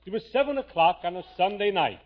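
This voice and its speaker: High-pitched, animated male radio announcer. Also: quick pace. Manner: mock-dramatic storytelling. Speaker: announcer and sketch narrator. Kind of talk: 1940s radio comedy announcing. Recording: muffled 1940s radio recording.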